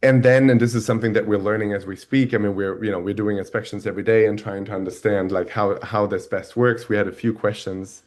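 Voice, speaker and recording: German guy hosting a webinar on a call, lowish voice, keeps restarting his words. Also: fairly even intonation. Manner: halting, many restarts and fillers. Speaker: webinar host. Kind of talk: product demo webinar talk. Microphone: video call.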